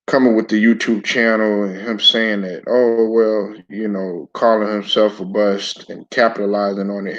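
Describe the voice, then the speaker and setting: A man hosting a casual live sports talk stream, hoarse and raspy from a sore throat, clearing his throat often and talking in a laid-back, stop-start way with many repeated words. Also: lowish voice. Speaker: sports commentary channel host. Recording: dull lo-fi home recording.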